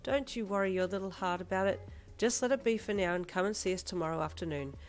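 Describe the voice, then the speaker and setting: A woman's voice: mid-range voice, fast talker, faint music behind, natural voice. A woman doing a goofy doting dad. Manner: playful, loving.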